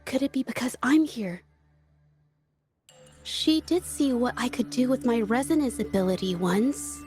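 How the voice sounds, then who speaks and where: Woman doing soft high voiced young painter girl from a game, earnest, kinda hesitant, music behind. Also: lightly acted. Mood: worried, self-blaming. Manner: hesitant, soft-spoken, halting. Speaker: game character. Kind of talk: video game voice acting.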